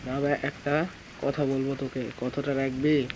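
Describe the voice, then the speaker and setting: A man's voice: mid-range voice, little pitch movement, a little slow, darkish tone, a little hiss or hum, close to an everyday voice, low-quality recording. A man voicing a hungry, pleading old village woman in a children's cartoon story, with a coaxing, familiar manner. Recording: music behind the voice.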